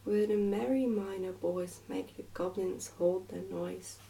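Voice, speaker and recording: A merry, fearless young miner boy from a storybook, voiced by a woman reader. The delivery is confident and sing-song, with a mellow tone and some creak at the edges, a little away from the mic. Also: mid-range voice, fairly even intonation, unhurried, recorded from a distance, rough recording. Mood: merry chanting. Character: cheerful, fearless twelve-year-old miner boy who sings.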